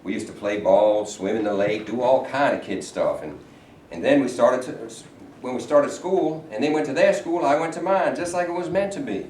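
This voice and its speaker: A man acting a stern, touchy, bigoted state governor in a staged play reading, halting yet authoritative. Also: medium pitch, lively intonation, darkish tone, close to an everyday voice. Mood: reflective. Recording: echoey, noisy stage recording from far off.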